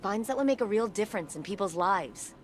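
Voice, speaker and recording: A woman's voice: medium pitch, animated, a little fast, soft background music, natural voice. A woman playing an earnest, determined soldier and tech expert in a video game, speaking persuasively. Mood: reflective, earnest.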